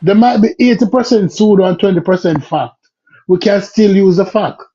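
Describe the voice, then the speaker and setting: High husky guy lecturing, lots of rhetorical questions, muffled lo-fi sound. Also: moderate pace, dark tone. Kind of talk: argumentative discussion. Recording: dull lo-fi audio.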